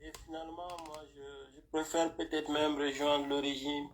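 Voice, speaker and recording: A man's voice: a little high, little pitch movement, normal speed, a little hiss or hum. A man from Cameroon calling into a live stream, speaking hesitantly and defensively, stumbling over his words. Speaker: caller. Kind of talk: live call-in conversation.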